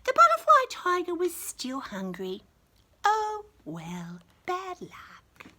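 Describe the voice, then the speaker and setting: A woman reading a picture book to young children with chatty, gushing commentary and expressive, exaggerated emphasis. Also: very high-pitched, slow pace. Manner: gushing, enthusiastic, talking to small children. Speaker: children's storyteller and host.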